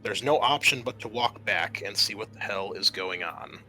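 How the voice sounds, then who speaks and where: A man working as game master, narrating a tabletop roleplaying scenario with measured, storyteller-like scene-setting. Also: on the low side, pushed and bright, soft background music, rough recording.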